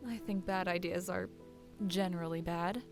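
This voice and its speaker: A woman playing a guarded, proud young female student in an audio drama, measured, dry and quietly bitter. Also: fairly low pitch, fairly even intonation, close to an everyday voice. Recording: music under the voice.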